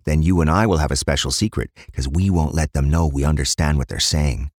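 A man acts out a middle-aged police inspector in a crime audiobook. His voice is low and his way of talking is plain and dry. The recording is close, with very little room sound. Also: brisk, no echo. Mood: gentle, fatherly. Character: weary forty-two-year-old police inspector, gentle father, blunt cop.

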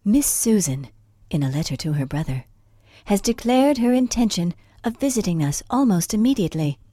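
A woman playing a polite, formal gentlewoman reading out a period letter in a measured, apologetic way. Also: mid-range voice, sing-song, no room sound, studio quality. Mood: politely regretful. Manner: measured, genteel.

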